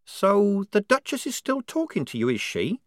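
A man's voice: sits high, wildly swooping pitch, moderate pace, no echo, studio quality, voice pushed up. A man reading an audiobook, voicing a cautious, practical young woman who is disguised as a soldier. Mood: guarded. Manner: cautious, guarded.

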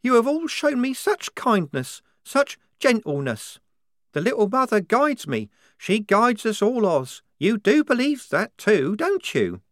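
A man's voice: sits high, wildly swooping pitch, a little slow, a bit bright, no echo, clean studio sound, voice pushed up, heavily acted. A man reading an audiobook, voicing a naive, devout nineteen-year-old woman soldier who speaks with fervent earnestness.

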